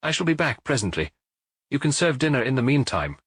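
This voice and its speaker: A man's voice: medium pitch, big pitch swings, a little fast, slightly rough, no room sound, clean studio sound, voice pushed up. A man voicing a brusque, suspicious, tight-lipped woman who runs a boarding house in an audiobook. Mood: snappish.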